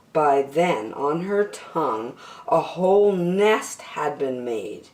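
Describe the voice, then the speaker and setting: A woman reading a picture book aloud to children in an expressive way, drawing out key words for emphasis. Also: on the low side, reverberant. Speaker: children's story reader.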